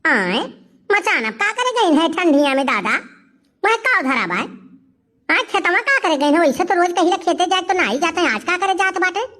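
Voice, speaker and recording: A woman's voice: very high voice, very animated, moderate pace, pushed and bright, heavily acted. A woman voicing a fretful, nagging village matriarch with an Awadhi accent, questioning and grumbling in a comedy cartoon. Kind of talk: comic cartoon dialogue.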